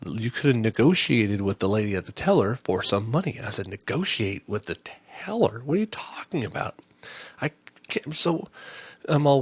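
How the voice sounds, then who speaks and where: A man on a comedy podcast, telling a long story about his day in an animated, exasperated way and acting out what each person said. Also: mid-range voice, dry recording. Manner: animated, exasperated retelling with quoted exchanges. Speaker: podcast host. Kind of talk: storytelling rant.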